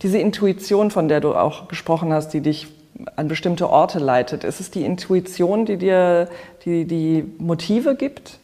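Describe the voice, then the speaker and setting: An interviewer speaking in an articulate, measured way, as in an arts feature. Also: medium pitch, warm tone. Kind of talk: arts interview with scripted introduction.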